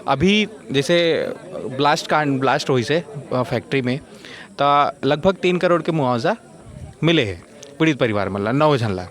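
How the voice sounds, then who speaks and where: A man's voice: mid-range voice, lively intonation, normal speed. A man with a Chhattisgarhi accent conducting a field news interview as a reporter in colloquial speech.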